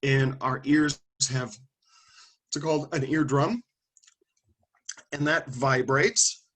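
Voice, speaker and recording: A man's voice: medium pitch, steady tone, slow, a bit bright. A man lecturing a classroom of students, calling on them by name and thinking aloud as he explains. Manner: conversational, thinking aloud with restarts and asides.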